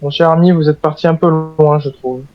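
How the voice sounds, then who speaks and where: A man with a moderately high voice chats casually in character during a role-play game. The sound is a little dull, like lo-fi voice chat. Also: fairly even intonation, a little fast, no echo.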